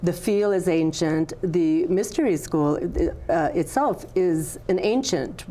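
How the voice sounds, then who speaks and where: A woman's voice: lowish voice, slow, dark tone, a little hiss or hum. A woman hosting an interview show, friendly and welcoming, affirming her guest. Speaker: talk show host.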